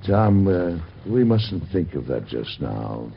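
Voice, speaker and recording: An actor playing a devout, grieving father and president, husky and gravelly, in a solemn, measured way of talking, from an old radio drama. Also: deep voice, big pitch swings, muffled recording, heavily acted. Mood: gentle. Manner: solemn, measured, gently reassuring.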